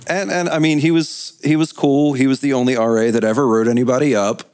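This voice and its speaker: A man's voice: medium pitch, fairly even intonation, fast talker, darkish tone. A man in his twenties from Maryland, chatting casually as a podcast panellist. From Maryland, United States. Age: 20s. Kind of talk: casual chat.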